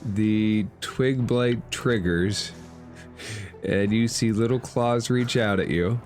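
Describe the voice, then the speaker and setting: A man with a fairly low voice runs a tabletop game as dungeon master. He narrates and rules casually, in a deadpan way, with music plainly playing behind him. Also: slow.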